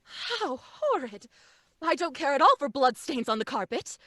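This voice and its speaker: A woman's voice: high-pitched, sing-song, unhurried, pushed and bright, no room sound, studio quality, full character voice. A woman playing a refined, easily flustered mother in an old-time radio drama, with a fluttery manner. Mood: appalled, firm. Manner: genteel, fluttery. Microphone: studio mic at home, video call.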